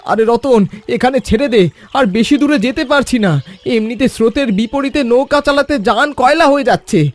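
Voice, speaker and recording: A man playing a greedy, lazy, deceitful villager in an animated children's story, pushy, boastful and impatient. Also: extremely high pitch, moderate pace. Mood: grudging.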